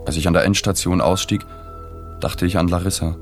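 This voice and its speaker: An actor playing a sober, observant journalist who narrates his story in a plain, measured way in a radio drama. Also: sits low, steady tone. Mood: sober, reflective. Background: music.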